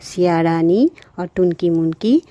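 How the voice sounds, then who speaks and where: A woman's voice: fairly low pitch, slow pace, warm tone, no room sound. A woman storyteller narrating a simple story for children.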